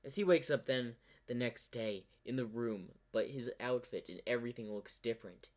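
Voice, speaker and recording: A man narrating a fan-fiction story in an improvised style, halting and pausing mid-sentence. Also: mid-range voice.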